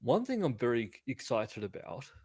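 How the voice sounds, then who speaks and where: A man's voice: mid-range voice, big pitch swings, moderate pace, a little mellow, slightly rough. A man co-hosting a podcast in casual chat, talking in an enthusiastic, rambling way. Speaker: podcast co-host.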